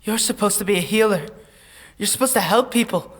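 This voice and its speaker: Casting: naive young farmer, notably high voice, earnest, nervous, pleading, fantasy audio drama. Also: male voice, brisk, a bit bright.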